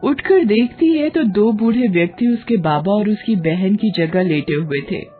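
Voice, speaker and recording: A woman narrating a cartoon story in a measured storytelling manner. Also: mid-range voice, lively intonation. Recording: dull lo-fi audio, faint music.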